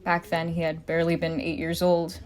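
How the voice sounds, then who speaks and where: A woman's voice: low-pitched, monotone, normal speed, darkish tone, close to an everyday voice. A woman playing a pessimistic, world-weary private detective in an audio drama, narrating and speaking in a dry, wry manner. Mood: weary, reflective.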